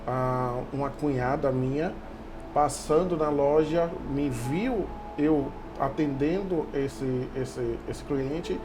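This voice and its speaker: A man's voice: medium pitch, slow pace, low-quality recording. A man giving an informal personal account as an interview guest, stumbling and repeating words. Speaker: interview guest, sales manager. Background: background music.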